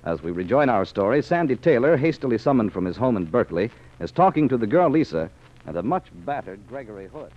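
Male announcer, animated, mid-pitched, enthusiastic selling, 1940s radio style, muffled old recording. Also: fast talker. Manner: enthusiastic salesmanship.

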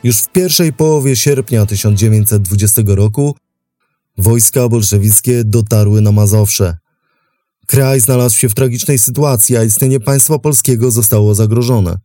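Male history narrator, mellow, scripted documentary read, close dry sound. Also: lowish voice, normal speed, dry recording, soft background music. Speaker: narrator and host of a history programme.

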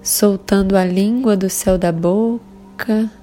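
A Brazilian woman leading a guided meditation in a calm, soothing, measured way with long pauses between phrases. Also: medium pitch. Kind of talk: slow guided meditation narration. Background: soft sustained meditation music.

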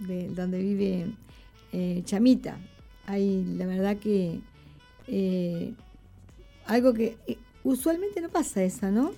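A woman's voice: medium pitch, slow pace, long pauses, dark tone, soft background music. A woman hosting a casual live radio show, chatting conversationally with her co-host and addressing listeners.

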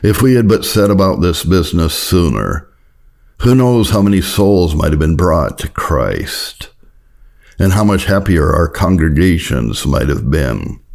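A man reading an old sermon aloud as an audiobook narrator, in a solemn, earnest, measured and formal way. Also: deep voice, gravelly, darkish tone. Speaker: audiobook narrator of Puritan writings.

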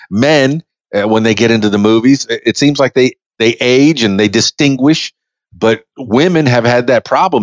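A man's voice: medium pitch, dry recording, lo-fi sound. A man in his fifties hosting a podcast interview, rambling with many restarts and easy laughs. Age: 50s. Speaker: podcast host. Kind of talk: casual interview chat.

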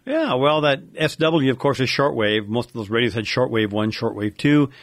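A man's voice: mid-range voice, expressive, moderate pace, no room sound. American man explaining technical details, dry sound. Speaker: radio expert and regular podcast guest.

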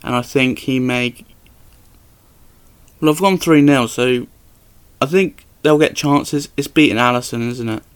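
A man's voice: medium pitch, unhurried, long pauses, clean tone. A young man co-hosting a casual football podcast, giving matter-of-fact opinions. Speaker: podcast co-host.

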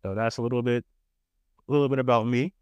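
A man from Queens with a New York accent, co-hosting a podcast in casual chat, relaxed and laughing often. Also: medium pitch, fast talker, clean tone, dry recording. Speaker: podcast co-host.

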